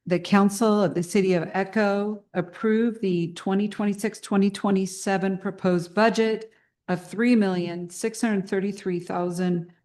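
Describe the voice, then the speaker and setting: A woman council member stating a formal motion at a public meeting, reading the figures in a measured way. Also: mid-range voice, darkish tone.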